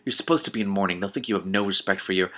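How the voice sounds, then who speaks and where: A man's voice: sits low, rapid-fire, a bit bright. Man voicing a stern, selfish storybook father. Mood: cold scolding. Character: stern, selfish father, outwardly hearty, cold to his daughter.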